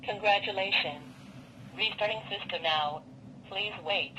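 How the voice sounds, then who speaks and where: A woman's voice: mid-range voice, unhurried, bright, forceful tone, a little hiss or hum, poor audio. A synthetic-sounding woman's voice giving short scripted system announcements as the automated prompt of a device.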